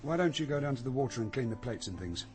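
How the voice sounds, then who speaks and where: An actor playing a brisk, commanding young man on the run in a radio drama. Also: medium pitch, expressive, a little mellow, faint music behind, natural voice. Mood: casual.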